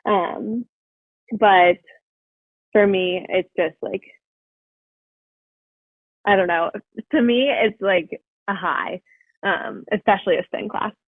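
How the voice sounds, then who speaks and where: A woman's voice: mid-range voice, takes it slowly, long pauses, no echo. Young woman on a tinny call line. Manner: chatty, full of "like" fillers. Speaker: podcast guest. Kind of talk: casual interview chat. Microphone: video call. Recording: dry, phone-like call audio.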